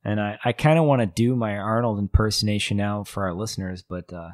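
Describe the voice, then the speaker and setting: A man's voice: on the low side, steady tone, fairly quick, a little mellow, dry recording, studio quality. Laughing, rambling man on a podcast. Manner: rambling, hesitant, laughs a lot. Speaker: podcast co-host. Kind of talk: casual chat.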